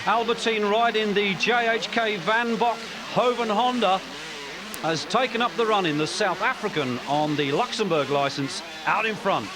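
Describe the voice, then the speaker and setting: A man commentating a motocross race in an energetic way that picks up as the action builds. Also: high voice, moderate pace, noisy background. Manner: energetic, rising excitement on race calls.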